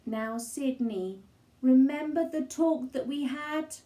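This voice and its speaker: A woman's voice: sits high, takes it slowly, mellow, reverberant. A woman voicing a stern, exasperated mum in a children's story, firm and admonishing. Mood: disapproving.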